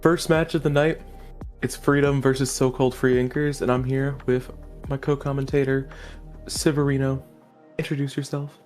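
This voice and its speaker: A man's voice: mid-range voice, little pitch movement, normal speed, a little mellow, soft background music. Upbeat man hosting a game tournament. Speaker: commentator, show host. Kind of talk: esports commentary.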